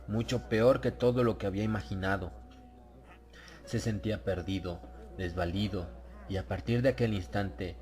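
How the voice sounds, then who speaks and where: A man's voice: low voice, a little mellow. A man narrating a dramatized horror audiobook.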